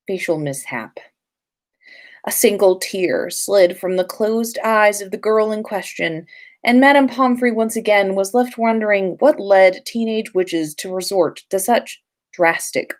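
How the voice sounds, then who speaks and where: Unhurried woman with lively, swooping intonation. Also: medium pitch. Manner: informal, playful, sweary, self-interrupting. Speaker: podcast host reading listener fan fiction. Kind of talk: casual livestream chat and story reading.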